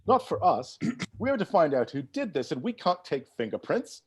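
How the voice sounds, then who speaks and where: A man playing a grave, formal butler in a comedy table read, with a husky voice and declamatory delivery. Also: on the high side, big pitch swings, moderate pace. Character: grave, composed butler presiding over murder suspects. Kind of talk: live table read of comedy film script.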